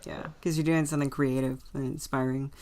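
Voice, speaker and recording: A woman's voice: a bass voice, steady tone, fairly quick, darkish tone, a little hiss or hum. A woman interviewing a group in a casual, conversational style.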